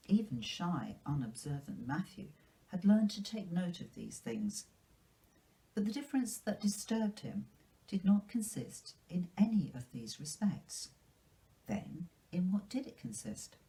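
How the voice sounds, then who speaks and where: A woman reading a children's classic aloud as a storyteller, in an expressive, measured narrating style. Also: sits low, far from the mic, poor audio.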